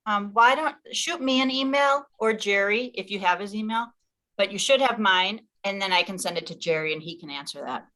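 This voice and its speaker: Bright-voiced woman leading an informal meeting. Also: medium pitch, low-quality recording. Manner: chatty, self-interrupting. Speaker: meeting leader and organizer.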